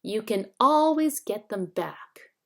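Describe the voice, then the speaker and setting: A woman voicing a fawning, falsely sweet old hag in a shrill, whining storybook voice. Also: big pitch swings. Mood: wheedling. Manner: fawning, drawn-out coaxing.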